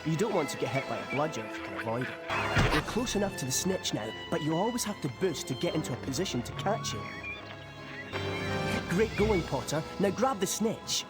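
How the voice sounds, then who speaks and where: A man's voice: fairly high pitch, very animated, a little fast. An actor playing an eager, encouraging teenage boy sports captain in a video game, with a British accent. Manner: eager, coaching. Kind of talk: video game tutorial voice acting. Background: loud music.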